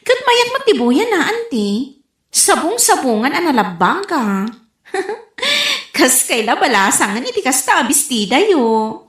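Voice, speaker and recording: An actress playing a cheeky young niece in a comedic radio drama, giggly and teasing. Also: female voice, sits high, sing-song, unhurried, roomy sound. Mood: teasing, cheerful.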